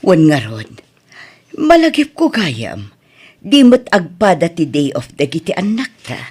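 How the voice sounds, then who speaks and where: An emotional, protective mother getting on in years, acted by a woman in a family audio drama. Her voice is husky and moves widely in pitch, with a strict edge. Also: big pitch swings, a little slow, darkish tone, full character voice. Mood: calm. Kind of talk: Ilocano family radio-style drama acting.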